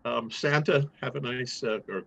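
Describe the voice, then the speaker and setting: A man's voice: mid-range voice, normal speed, creaky. A middle-aged man chatting casually as an advisor, encouraging and joking, on a video call. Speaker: faculty advisor. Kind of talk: casual chat. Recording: muffled video call audio.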